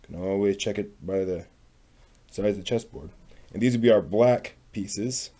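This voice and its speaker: A man casually thinking aloud as he works on a craft, muttering to himself in short, unhurried phrases. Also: fairly low pitch, warm tone. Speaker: maker hosting a craft workshop video.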